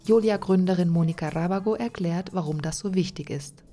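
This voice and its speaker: Female doc narrator, low and mellow, steady read over faint music. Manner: even, measured reading. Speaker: documentary narrator. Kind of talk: scripted narration.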